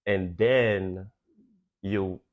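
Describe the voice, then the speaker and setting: A young man from Belgium speaks in a very low voice, telling stories casually and putting on quoted lines as he goes. The recording is slightly dull and lo-fi. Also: extremely slow. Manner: animated, acts out quoted exchanges. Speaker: podcast guest, nightlife VIP host. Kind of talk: casual interview storytelling.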